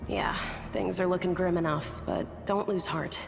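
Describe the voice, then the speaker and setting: Slow, gravelly adventurer woman. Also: lowish voice, fairly even intonation. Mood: steadfast, encouraging. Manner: colloquial, wry, unhurried. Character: gritty, plucky injured woman companion, dry-humoured and stubborn. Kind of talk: fantasy video game voice acting. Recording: echoey reverberant hall, music behind. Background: game music.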